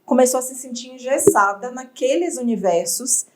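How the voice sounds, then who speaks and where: A woman from Bahia, an entrepreneur telling her story in an interview, reflective and expressive. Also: high-pitched, moderate pace, background noise. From Bahia, Brazil. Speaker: entrepreneur guest.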